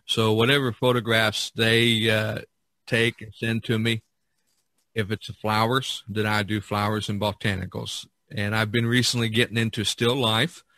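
A man with a fairly low but very bright, forceful voice chats casually, as a painter in an interview. He rambles earnestly and haltingly, recorded close and dry. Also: no room sound. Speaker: studio painter being interviewed in a role-play. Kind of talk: casual unscripted podcast conversation.

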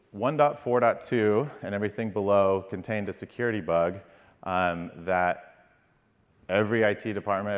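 A low-pitched man in his thirties gives a keynote from a big conference stage. He speaks in a measured way, with frequent ums and small restarts. His voice carries a long hall reverb, and the sound is slightly dull. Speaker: company CEO giving a keynote. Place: large dark conference stage.

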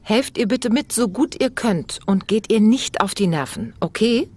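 A woman voicing a concerned, caring mother who gently instructs her children in an audio story. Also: mid-range voice, lively intonation, unhurried, husky, voice pushed up. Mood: caring, firm.

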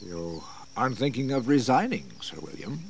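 Lively, deferential colonial gentleman. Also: mid-range voice, normal speed, creaky. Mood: courteous concern. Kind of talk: historical audio drama acting. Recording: faintly noisy 1980s drama recording.

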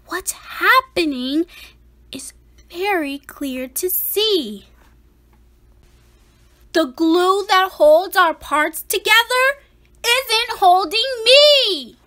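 A woman voicing an anxious, dramatic five-year-old boy in an expressive picture-book read-aloud, with emphatic, theatrical delivery. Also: high voice, full character voice.

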